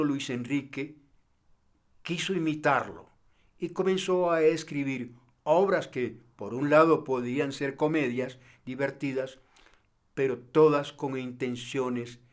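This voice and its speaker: A man giving measured explanatory commentary in formal, deliberate phrases. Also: medium pitch. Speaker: commentator on a playwright's work.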